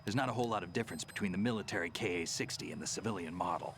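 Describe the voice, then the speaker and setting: An actor playing a calm, terse soldier ally in a video game cutscene, giving clipped, matter-of-fact orders. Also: male voice, deep voice, rapid-fire, slight background noise.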